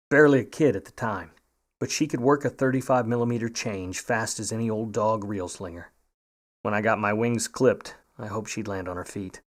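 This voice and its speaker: An actor playing a wry, grudging, hard-boiled Hollywood private eye of the 1950s in old radio noir style, full of slangy wisecracks. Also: male voice, fairly low pitch, close to an everyday voice. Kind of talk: old-time radio noir acting with narration.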